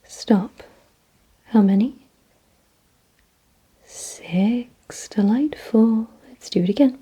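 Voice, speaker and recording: A woman whispering in a breathy, hushed ASMR roleplay style, giving deliberate instructions directly to the listener. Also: on the high side, rough recording. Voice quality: breathy, mostly unvoiced whisper. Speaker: ASMR performer.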